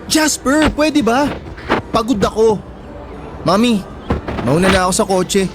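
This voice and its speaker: Curt, high-voiced teenage brother. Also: male voice, expressive, a little slow. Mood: cold, irritated. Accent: Filipino. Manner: curt, distant. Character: cold, weary teenage younger brother. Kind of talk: Filipino radio drama acting. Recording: music bed behind the voice.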